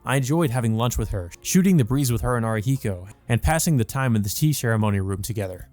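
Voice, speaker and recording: A man's voice: medium pitch, expressive, fast, a little mellow, soft background music, lightly acted. A man voicing an earnest young male student who narrates his thoughts in a visual novel. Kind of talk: visual novel monologue read aloud.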